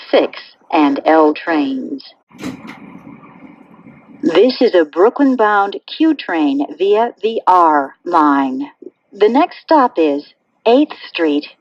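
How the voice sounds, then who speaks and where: Slow, melodic woman announcer, dull recording. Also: on the low side, animated. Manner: measured, clearly phrased, formulaic delivery. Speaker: automated subway train announcer. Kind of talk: pre-recorded transit announcements.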